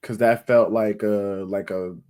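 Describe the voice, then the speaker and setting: A young man co-hosting a casual music review podcast, unhurried and emphatic, often restarting his sentences. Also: fairly low pitch, fairly even intonation, dark tone. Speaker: podcast co-host.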